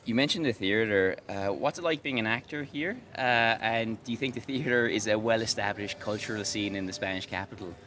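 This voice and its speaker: A man's voice: on the low side, brisk, hardly any pauses, sharp tone, slight background noise. A man hosting a podcast interview outdoors, hesitant, with many "uh" fillers. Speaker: podcast host. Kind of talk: casual interview. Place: outdoors outside a bookshop. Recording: music playing behind the voice.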